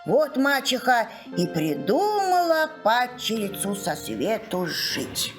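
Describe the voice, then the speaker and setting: A woman storyteller giving an expressive scripted fairy-tale narration. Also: fairly high pitch, slow pace. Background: music.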